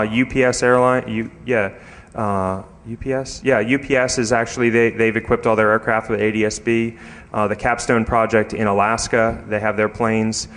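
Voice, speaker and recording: A man's voice: mid-range voice, a bit bright. Man giving a hesitant, informal tech talk. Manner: hesitant, rambling, peppered with um and you know. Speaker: conference speaker, security researcher. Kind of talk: informal technical conference presentation. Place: live talk in a conference room.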